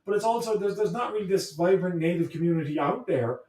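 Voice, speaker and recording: A man, a guest in an interview, speaking thoughtfully and haltingly as he searches for words. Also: sits high, a little mellow. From Ireland (Cork). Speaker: guest, history professor. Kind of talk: reflective conversational interview. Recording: echoey room, distant from mic.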